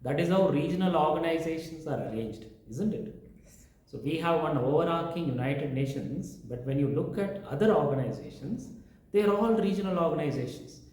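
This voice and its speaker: A man's voice: fairly high pitch, animated, fairly quick, darkish tone. Lecturing man in a very echoey room. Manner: lecturing, listing points one by one. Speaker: professor. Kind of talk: explaining teaching methods in an interview.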